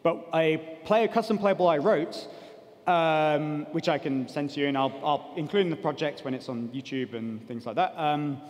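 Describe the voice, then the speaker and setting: Higher-voiced man speaking in a reverberant hall. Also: moderate pace, a little mellow. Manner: casual, explanatory, peppered with "like" and "kind of". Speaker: conference speaker. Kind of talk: informal technical talk with audience Q&A. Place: large conference hall stage. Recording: big reverberant hall PA sound.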